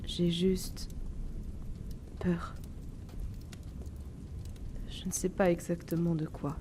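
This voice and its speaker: Woman acting a sad haunted noble girl, audio drama monologue, real slow and hushed, barely moves in pitch, hesitant and wistful. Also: a little hiss or hum. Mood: hesitant, vulnerable. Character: melancholic young woman of noble descent, haunted by her past. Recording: steady loud rainfall behind the voice. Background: rain.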